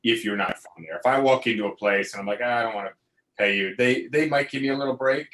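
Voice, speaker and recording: A man's voice: mid-range voice, fast talker, a bit bright, poor audio. A man telling a casual, rambling travel story, with restarts and free swearing. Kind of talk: casual chat.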